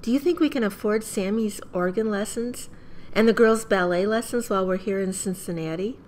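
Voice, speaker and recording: Woman acting a brisk American mom, faint noise. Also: mid-range voice, a little mellow, lightly acted. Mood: fond, proud. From United States. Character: brisk, caring mother of a young family. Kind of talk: family radio play acting.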